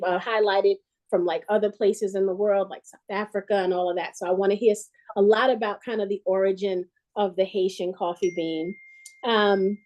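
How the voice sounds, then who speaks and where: Host, woman, forties, mellow and chatty, speaks straight to viewers over a video call. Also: medium pitch. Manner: chatty, addresses viewers directly. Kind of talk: livestream pitch hosting. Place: small indoor room.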